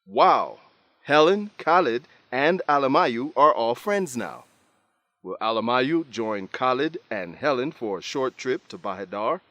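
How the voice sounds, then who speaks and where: A man's voice: medium pitch, sing-song, a little slow, a bit bright, dry recording. A man presenting as narrator and English lesson host, reading a script in an instructive radio style.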